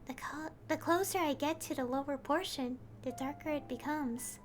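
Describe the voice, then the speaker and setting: A woman voicing a calm, thoughtful young traveler who speaks reflectively. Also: high-pitched, moderate pace, natural voice. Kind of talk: fan voice-over of a game scene.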